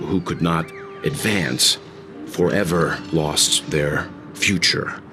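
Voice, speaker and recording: A man playing an earnest, philosophical traveler telling a story in a video game, deliberate and solemn with weighty pauses. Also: very deep voice, exaggerated voice.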